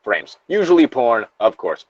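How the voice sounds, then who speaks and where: A man's voice: medium pitch, sing-song, moderate pace, dry recording, dull audio. A man reading a horror story aloud as co-host, casually, with joking asides and the odd stumble. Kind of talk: casual co-reading of creepypasta with banter.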